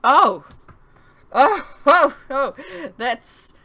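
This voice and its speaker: Woman acting a giddy, giggling young woman, high and bright. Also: sing-song, very slow, exaggerated voice. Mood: hysterical giggling. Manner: breathless, exclaiming, giggly.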